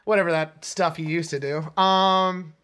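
A man talks casually as a podcast co-host about pop culture. His delivery wanders and halts, circling back as he explains things. The pitch sits in a middle range. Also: fairly even intonation, normal speed, a bit bright, clear, resonant tone. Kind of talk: casual comics and film chat.

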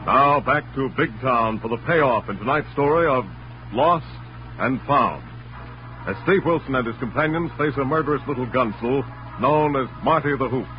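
A man's voice: medium pitch, moderate pace, a little hiss or hum. A man working as an emphatic, dramatic announcer, reading narration and sponsor copy in 1940s radio style. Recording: muffled, humming old radio recording.

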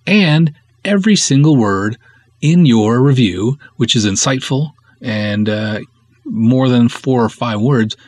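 A man's voice: mid-range voice, animated, takes it slowly, a little mellow, dry recording. A man hosting a podcast in a casual, conversational style, talking and reading aloud with wry, snarky sarcasm. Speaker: podcast host and filmmaker.

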